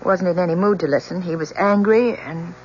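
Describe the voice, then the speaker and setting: An actress playing an anguished, truthful young woman in an old-time radio drama, speaking in a halting, earnest, emotional way. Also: lowish voice, darkish tone, lightly acted. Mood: distraught, insistent. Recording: muffled, noisy old radio drama recording.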